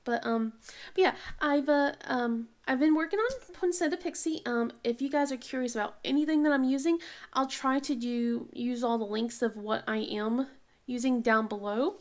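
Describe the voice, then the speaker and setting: A woman chatting casually as she works on a craft project, halting with many ums and restarts, sniffly from a cold. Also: a little high. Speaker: diamond painting vlogger. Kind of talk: casual chat while crafting.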